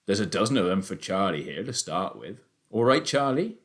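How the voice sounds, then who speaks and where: A proud, calm father who speaks in a low, steady voice, as a male narrator acts him. He sounds gentle and sure of himself. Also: moderate pace.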